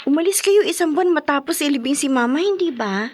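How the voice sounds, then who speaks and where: An actress playing a young woman, a new graduate and affectionate daughter, speaking softly and tenderly. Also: high voice, animated, fast. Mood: polite. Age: young adult. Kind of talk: audio drama acting.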